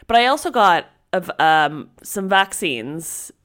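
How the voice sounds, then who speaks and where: Woman podcast co-host, lively pitch, stuffy raspy voice from a cold, chatty. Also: takes it slowly. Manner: chatty, laughs often, restarts sentences. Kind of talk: casual chat.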